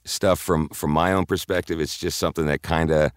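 A man who works as a voiceover announcer, chatting in an interview with a deep voice and a halting, filler-heavy way of talking. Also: fairly even intonation, smooth, dry recording, studio quality. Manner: rambling, halting, with many fillers and restarts. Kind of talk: casual interview chat.

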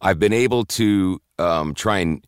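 Guy with a really deep low voice rambling about his work, lots of ums and restarts, dry close sound. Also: smooth, dry recording. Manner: rambling, halting, with many fillers and restarts. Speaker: voiceover announcer, interview guest. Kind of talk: casual interview chat.

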